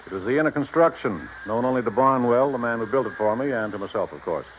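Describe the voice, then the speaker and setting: A man's voice: mid-range voice, expressive, quick pace, lightly acted. A man playing a proud, formal, secretive dairy ranch owner in a 1950s radio drama. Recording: muffled vintage radio recording, faint noise.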